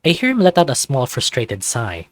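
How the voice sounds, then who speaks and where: A man with a mid-pitched voice hosts a visual novel read-along. He narrates and chats in a casual way, and the sound is very dry with no room on it. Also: wildly swooping pitch, moderate pace, no room sound. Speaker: host of a let's-read channel.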